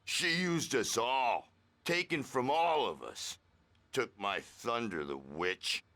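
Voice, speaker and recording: An actor playing a crazy, cranky old hard-rock man in a video game, gravelly and erratic, swinging between shouts and mutters. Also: mid-range voice, expressive, slow pace, full character voice. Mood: accusing. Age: older. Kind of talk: video game character acting. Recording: noisy, ambient background sound.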